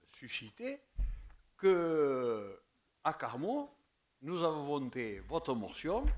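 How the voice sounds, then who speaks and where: A man speaking up in a council meeting, his voice at a middling pitch. He stammers, repeats words and lets phrases trail off quietly before pushing on. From southern France. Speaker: municipal councillor.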